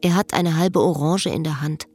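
Pensive, wry female storyteller, lowish voice. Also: steady tone, normal speed, a little mellow, faint music behind. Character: melancholy, wry woman narrating her own story. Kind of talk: literary radio play, inner monologue and dialogue.

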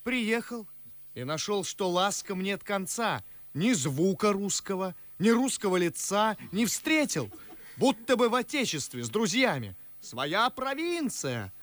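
A high, swooping voice as an indignant young gentleman in a radio play. He declaims verse with sarcasm and passion, lingering over his words in a dry, close recording. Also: sing-song, a little slow, dry recording, exaggerated voice. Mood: scornful, ironic. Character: indignant, eloquent young gentleman railing at imitation of foreigners.

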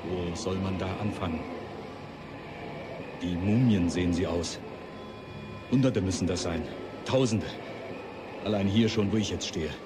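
Really low voiced shocked witness, halting, sickened, noisy radio play report. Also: male voice. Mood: stunned, nauseated. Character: stunned, sickened male witness among the dead.

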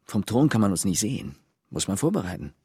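A man's voice: lowish voice, sing-song, fairly quick, dark tone, breathy edge, dry recording, studio quality, full character voice. An actor playing Jo, a young man insistently laying out a secret plan. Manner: insistent, plotting.

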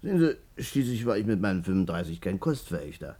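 An actor playing a smooth-talking, boastful ladies' man in a 1950s German radio play. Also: deep voice, sing-song, fast, dark tone. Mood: boastful recounting. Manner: colloquial, insinuating, emphatic storyteller.

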